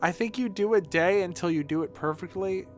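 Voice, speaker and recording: A man's voice: sits high, a little fast. A man streaming a video game, thinking aloud in casual, sweary, bewildered commentary. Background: music.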